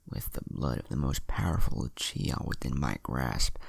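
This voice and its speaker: A man's voice: very deep voice, animated, normal speed, vocal fry, husky, no room sound, heavily acted. A man voicing a power-hungry villain in disguise, menacing and calculating, in a narrated anime story. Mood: scheming.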